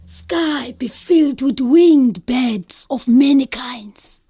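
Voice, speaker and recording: A soothing, cautious spirit of the wind, very breathy and whispery with a creaky edge. She speaks slowly, in the style of a children's audio play. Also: high voice, warm tone, dry recording, soft background music, exaggerated voice. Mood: cautioning, soothing. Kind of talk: children's musical comedy audio theatre.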